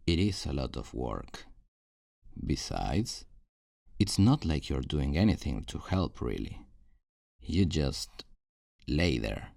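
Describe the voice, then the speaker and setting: A man's voice: rumbling low, very animated, gravelly, a little mellow, no room sound, studio quality, full character voice. A man playing a possessive, controlling kidnapper in an intimate ASMR roleplay, coaxing and condescending with menacing tenderness. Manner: slow, coaxing, condescending pet-name talk.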